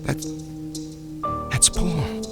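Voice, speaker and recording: An American man acting in a radio drama as a troubled, earnest salesman and Army veteran of about thirty, speaking haltingly. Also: loud music behind the voice. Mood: agitated, insistent.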